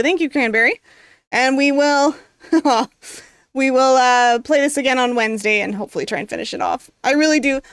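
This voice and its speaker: A woman's voice: sits high, fairly bright tone, no echo, studio quality. A woman streamer who reads a visual novel's narration aloud and chats casually with viewers, with lots of fillers. Her voice is going from so much reading, and she coughs now and then. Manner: casual, rambling, heavy on fillers.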